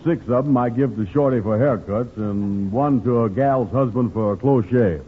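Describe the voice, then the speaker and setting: A man's voice: medium pitch, moderate pace, natural voice. An actor playing a gullible, easily flustered salesman with folksy, ungrammatical talk, in old radio comedy style. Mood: exasperated. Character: gullible, exasperated working-class male pen salesman. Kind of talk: 1940s radio comedy acting. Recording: tinny, lightly hissy old-time radio recording.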